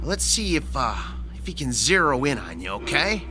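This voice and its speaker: A man's voice: mid-range voice, big pitch swings, normal speed, close to an everyday voice. An American actor in an audio drama playing a worried, exasperated male mechanic who tends a worn-out robot, fretful and protesting. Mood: uneasy. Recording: music under the voice.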